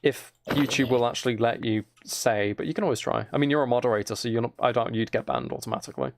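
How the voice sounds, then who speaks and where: A man's voice: medium pitch, fast talker, vocal fry. A man chatting casually and teasingly to his viewers while playing a game on a livestream.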